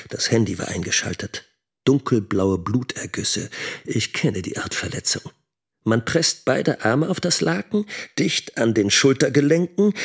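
An actor playing a middle-aged schoolteacher and father, brooding and scheming in a deliberate inner monologue for radio drama. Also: medium pitch, husky.

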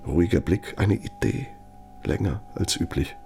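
An embittered old right-hand man in a radio drama monologue. His voice is very low and gravelly. He speaks deliberately and confidingly, with sardonic, pointed pauses, over a light bed of music. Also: lively intonation, a little mellow. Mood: nostalgic, reverent. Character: embittered loyal old aide of a Nazi architect, reminiscing reproachfully. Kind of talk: German radio play monologue.

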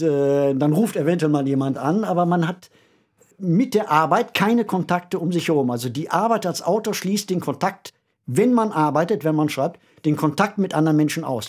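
Husky-voiced man discussing a radio play. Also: fairly high pitch, darkish tone.

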